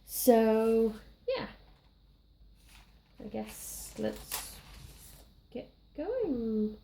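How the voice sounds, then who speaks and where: Welsh woman, young, slightly croaky from a cold, chatty and rambling, warm gravelly tone. Casual crafting vlog. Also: on the high side, expressive, very slow, slight background noise. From Wales. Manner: chatty, rambling, self-deprecating. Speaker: scrapbooking crafter.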